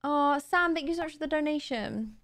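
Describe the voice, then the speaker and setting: A young woman streaming from her room late at night, tired and a little raspy, chatting casually and thanking viewers on a close studio mic. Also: sits high, a little fast, a bit bright. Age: young adult. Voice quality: slightly raspy today. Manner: chatty, bubbly, laughs easily. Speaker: live streamer. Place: home streaming room, indoors. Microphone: boom studio mic close to mouth.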